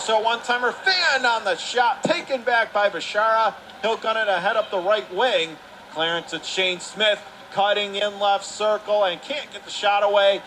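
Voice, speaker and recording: High-voiced male hockey announcer. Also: a little hiss or hum. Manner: excitable, clipped phrasing. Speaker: radio play-by-play announcer. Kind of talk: live ice hockey play-by-play commentary. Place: commentary position at an indoor ice rink.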